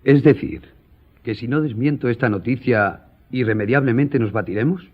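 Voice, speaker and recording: An actor playing a proud, composed gentleman journalist in a radio drama, speaking formally and firmly. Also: medium pitch, lo-fi sound, lightly acted. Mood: firm, offended. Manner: formal, measured, firm. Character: proud, composed male newspaper journalist, duel-ready gentleman.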